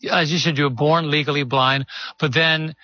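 A man hosting a religious talk show, introducing guests in a measured, gracious way. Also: fairly high pitch, steady tone, pushed and bright, dull audio. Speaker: host and pastor.

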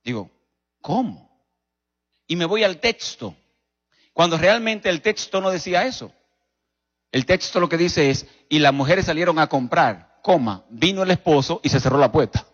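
High, husky Dominican preacher man in reverberant hall. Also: animated. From Dominican Republic. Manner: emphatic storytelling with rhetorical questions and dramatic pauses. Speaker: pastor preaching. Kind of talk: sermon. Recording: dull recording in a reverberant hall.

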